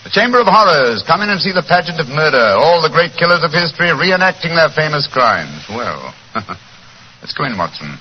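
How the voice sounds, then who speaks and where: A man's voice: on the high side, big pitch swings, normal speed. A man acting a shrewd, urbane English detective in a 1940s radio drama, speaking precisely and theatrically. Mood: wry, intrigued. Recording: noisy, muffled 1940s radio recording.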